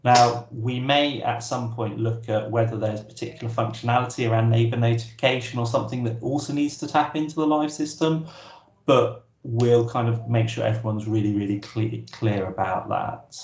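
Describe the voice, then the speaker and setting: A man's voice: mid-range voice, fairly even intonation, normal speed, darkish tone. A man presenting an online workshop, answering questions casually with frequent restarts. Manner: casual, hesitant, many restarts. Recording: roomy echo.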